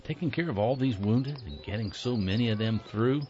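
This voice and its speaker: A man voicing an army captain who is brisk, teasing and good-humored. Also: medium pitch, a little mellow, natural voice. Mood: gruff, amused. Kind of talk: audio drama acting. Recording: muffled lo-fi recording.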